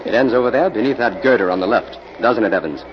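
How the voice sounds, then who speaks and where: An actor playing a confident, wry crime-solving hero who calmly explains things, in 1940s radio drama style. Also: male voice, on the low side, fast talker, a little hiss or hum, close to an everyday voice. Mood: assured, wry. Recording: muffled old radio broadcast recording.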